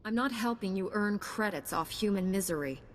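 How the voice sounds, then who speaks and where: Actress as young heroic knight, game cutscene, resolute delivery, mid-range voice, some background ambience. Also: female voice, medium pitch, animated, brisk, a little hiss or hum, close to an everyday voice. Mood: firm. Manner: firm, resolute. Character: principled, firm young female Jedi knight. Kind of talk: video game cutscene dialogue. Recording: long reverb, clear background ambience.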